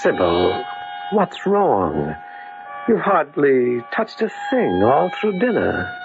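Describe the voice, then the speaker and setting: An actor playing a concerned gentleman in an old-time radio drama. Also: mid-range voice, wildly swooping pitch, slow, vocal fry, full character voice. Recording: muffled old radio, very loud noise.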